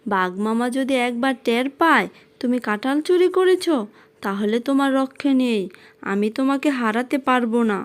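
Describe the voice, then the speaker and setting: A high-voiced fox wife from a children's cartoon, acted by a woman. She is devoted and anxious, fretting and pleading as she speaks. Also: normal speed, lightly acted. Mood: worried. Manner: fretful, pleading. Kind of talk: children's cartoon character acting.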